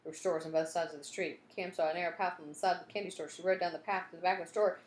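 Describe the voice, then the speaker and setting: A woman reading a children's storybook aloud in an expressive way, acting out the story and adding asides like a teacher. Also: fairly low pitch, very fast. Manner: expressive, acts out dialogue and sound effects. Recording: roomy echo, some background noise.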